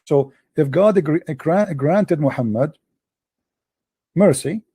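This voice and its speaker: A man's voice: fairly high pitch, slow, warm tone, slightly rough, dry recording. A man hosting a religious debate, pressing his points insistently and repeating himself in nonstandard English. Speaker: debate host.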